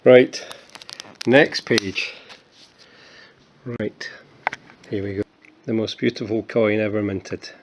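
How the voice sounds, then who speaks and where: A man's voice: on the low side, slightly rough. A Scottish man in his fifties, chatting casually and with self-mocking humour while showing his coin collection, with plenty of fillers and restarts. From Scotland. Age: 50s. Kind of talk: casual show-and-tell vlog.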